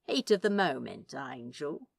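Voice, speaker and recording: Lady doing a smug flirty demon guy, low lazy drawl, teasing and slangy, story reading. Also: sing-song, unhurried, creaky, no echo, studio quality, heavily acted. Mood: nonchalant teasing. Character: cheeky, teasing male demon, laid-back flirt.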